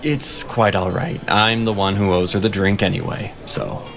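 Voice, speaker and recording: A man's voice: lowish voice, steady tone, normal speed, creaky, a bit bright, soft background music. A man playing a stoic, weary hunter in a fantasy audio drama, dry and placating in manner. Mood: weary, stern.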